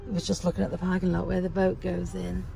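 A middle-aged woman chats casually to her viewers in a low, gravelly voice. Her tone is mellow, and faint vehicle noise sits behind her inside the car. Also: completely flat delivery, fast. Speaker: vlogger.

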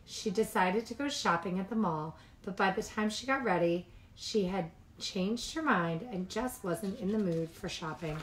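A woman's voice: medium pitch, low-quality recording. Woman reading picture books aloud to children. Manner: animated, chatty asides between passages. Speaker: childcare storytime reader.

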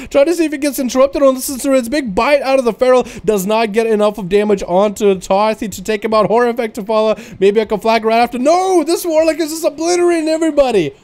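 A man with a slight Russian accent giving excited, fast play-by-play commentary on a video game match, with loud outbursts at big plays. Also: very high voice. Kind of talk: casual esports commentary.

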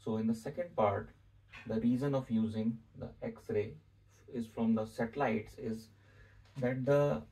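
A middle-aged man with an Indian accent explains as a physics teacher, deliberately and repeating key phrases, in a small room. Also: mid-range voice, warm tone. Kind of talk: explanatory whiteboard lesson. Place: small indoor room with whiteboard. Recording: distant microphone in a room.

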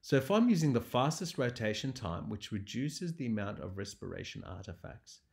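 A man's voice: on the low side, big pitch swings, moderate pace, creaky. A man lecturing over slides as a radiology lecturer, explaining things methodically. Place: voice-over recorded over presentation slides.

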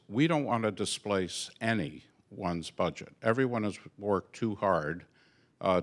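Low-voiced older man on a panel. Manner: earnest, halting with fillers and restarts. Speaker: foundation founder on a panel. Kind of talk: panel discussion remarks. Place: conference room with audience. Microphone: handheld microphone.

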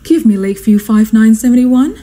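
A woman's voice: on the high side, expressive, fairly quick, noisy background, close to an everyday voice. A woman playing a fussy, bossy society hostess and mother in an amateur radio drama, imperious and dramatic. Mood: brisk, commanding.

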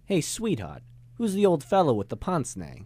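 A male actor as a hard-boiled American reporter in his thirties, with a very animated delivery and wisecracking slang. He narrates a noir radio drama in a dry, close recording. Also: on the high side, moderate pace, dry recording. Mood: wry, resigned. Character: wry, hard-boiled American ex-journalist of thirty-two in 1939.

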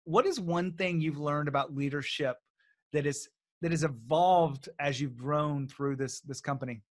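A man's voice: fairly high pitch, a little slow. A man in his forties hosting a business podcast, talking in an upbeat, direct way from a home office on a studio mic. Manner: upbeat, promotional, direct address. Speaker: podcast host. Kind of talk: podcast intro and interview. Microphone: studio mic on boom arm.

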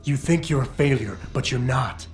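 A stoic security operative from a video game, played by a man. He speaks in measured, terse lines at a medium pitch with determination. Faint music runs behind him. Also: lightly acted. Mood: earnest, persuasive. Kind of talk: video game cutscene dialogue.

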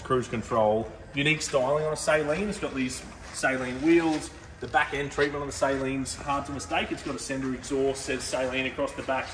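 A man hosting a casual car showroom walkthrough, talking enthusiastically with relaxed fillers. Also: medium pitch. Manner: laid-back, enthusiastic car talk with fillers. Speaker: car dealer host. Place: large indoor car showroom. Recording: roomy reverb, mic far off, background noise.

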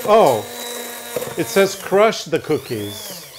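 A man's voice: on the high side, big pitch swings, slow, noisy background. A man, teasing his partner and reading recipe steps aloud in a home kitchen. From Norway. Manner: teasing, reads instructions aloud, laughs. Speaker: co-host. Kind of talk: casual baking chat. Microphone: unseen mic, medium-distance camera.

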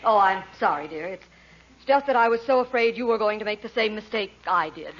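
A woman's voice: medium pitch, lively intonation, fairly quick, a bit bright, close to an everyday voice. An American actress playing a snobbish, theatrical middle-aged mother in a 1940s radio comedy. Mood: smugly condescending. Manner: haughty, theatrical. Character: snobbish, easily affronted middle-aged mother, grand and fainting. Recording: dull vintage 1940s radio recording.

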